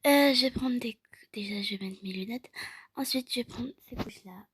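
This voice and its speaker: A woman's voice: on the high side, normal speed, breathy edge, voice pushed down. A woman voicing a polite, reassuring, slightly fussy female pediatrician in an improvised role-play, hesitant and courteous. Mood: concerned. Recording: loud music behind the voice.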